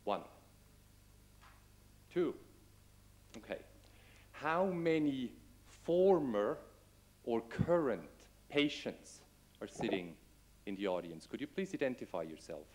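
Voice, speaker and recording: A man's voice: medium pitch, animated, slow pace, long pauses, a little mellow. Man giving a conference talk. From Switzerland. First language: Swiss German. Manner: addresses audience directly, asks questions. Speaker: conference speaker. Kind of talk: conference presentation. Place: conference room with window. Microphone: table microphone.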